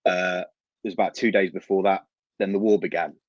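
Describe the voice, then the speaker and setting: A man's voice: low voice, steady tone, brisk, dry recording. A man from London with a London accent, giving conversational answers as a guest football journalist, on a slightly dull microphone.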